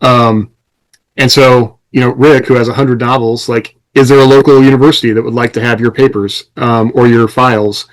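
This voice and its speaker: A man's voice: medium pitch, steady tone, moderate pace, rough recording. American man in casual podcast talk. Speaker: podcast host and writer.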